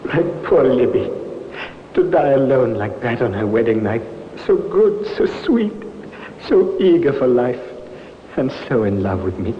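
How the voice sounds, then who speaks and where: An actor playing a smooth-talking, scheming bridegroom who feigns devotion, solicitous and theatrical, in old-time radio drama style. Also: male voice, mid-range voice, big pitch swings, a little slow. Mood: mournful. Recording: muffled, noisy vintage radio recording.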